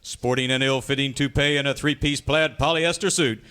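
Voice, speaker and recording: A man's voice: medium pitch, steady tone, normal speed, bright, forceful tone, clean tone, natural voice. A man playing an American radio announcer, narrating a cowboy serial parody with grandiose melodrama. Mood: mock-dramatic. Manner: florid, grandiose. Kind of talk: scripted mock-melodramatic narration.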